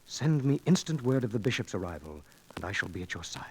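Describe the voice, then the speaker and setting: An actor playing a bold, passionate young outlaw leader in a 1940s radio adventure, with declamatory archaic speech. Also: male voice, lowish voice, sing-song, fast. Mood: ardent, tender. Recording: noisy 1940s radio recording with clicks.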